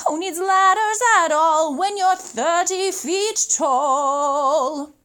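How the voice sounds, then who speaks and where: Woman as a jaunty storybook monkey, high and bouncy. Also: drawn-out words, a bit bright, faint music behind, full character voice. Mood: proud, jolly. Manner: chanting bouncy rhymes, exclamatory. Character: skinny, jaunty, eager dancing monkey window cleaner.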